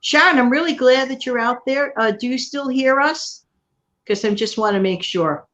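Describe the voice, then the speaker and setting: A woman's voice: high-pitched, moderate pace, a bit bright. A middle-aged woman hosting her channel in casual chat, rambling and laughing often. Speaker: channel host. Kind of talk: casual live-stream chat.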